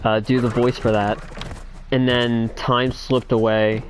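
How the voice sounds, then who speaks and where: A young man hosting a livestream, chatting casually while he plays a game. His voice is thin with little bass, and he is excitable and laughs a lot. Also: a little slow, slight background noise. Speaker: livestream host.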